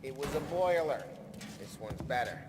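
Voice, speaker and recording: An actor playing an easygoing, seasoned mobster in a video game, with a breezy, teasing, streetwise way of talking. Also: male voice, mid-range voice, expressive, moderate pace, very noisy, natural voice. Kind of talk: cinematic game acting.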